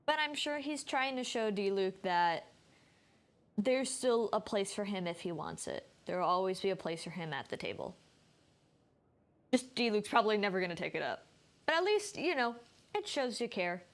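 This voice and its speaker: A woman's voice: mid-range voice, big pitch swings, moderate pace. Chatty woman streamer commenting on a game. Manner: chatty, thinking aloud. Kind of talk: casual live gameplay commentary.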